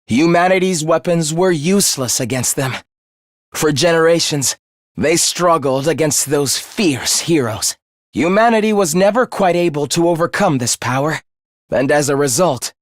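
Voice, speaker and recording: A man's voice: fairly high pitch, expressive, moderate pace, no room sound, studio quality, lightly acted. A man playing a young video game fighter who is eerily polite and fervent, speaking in theatrical, formal phrases of morbid devotion. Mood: solemn. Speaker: voice actor. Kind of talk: dramatic video game character acting.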